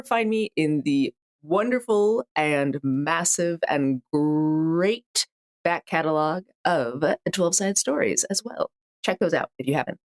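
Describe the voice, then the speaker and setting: A woman's voice: deep voice, no echo. A woman from a podcast cast, giving a casual, conversational sign-off.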